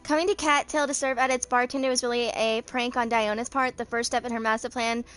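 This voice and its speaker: High-voiced young woman, casual gaming commentary. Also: fairly even intonation, a little fast, fairly bright tone, faint music behind. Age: 20s. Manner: stumbles over words while reading. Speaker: gaming video host. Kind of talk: casual commentary, reading game text aloud.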